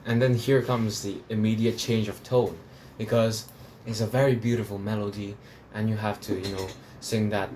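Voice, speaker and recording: Lowish-voiced guy from hong kong chatting about opera recordings, lots of restarts and ums, faint hiss behind. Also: level tone, normal speed, a little mellow. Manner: rambling, many restarts and fillers, laughs between points. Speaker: opera reviewer. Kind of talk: casual spoken review.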